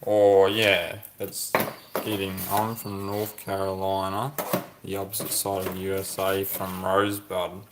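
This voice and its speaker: A man's voice: sits low, monotone, background noise. A man hosting a casual livestream, reading viewer comments aloud and musing over them. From Australia. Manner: slow, musing, reads comments aloud and ponders. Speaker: livestream host reading chat.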